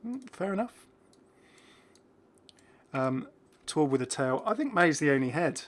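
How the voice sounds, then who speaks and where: A man's voice: a little high, big pitch swings, measured pace, fairly bright tone. A man hosting a gaming video, giving casual commentary and musing aloud in a chatty way. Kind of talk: casual game update commentary.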